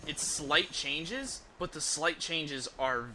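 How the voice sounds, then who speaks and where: A man's voice: fairly high pitch, takes it slowly, bright, forceful tone, a little hiss or hum, poor audio. A man giving casual, sweary live commentary as he streams a video game.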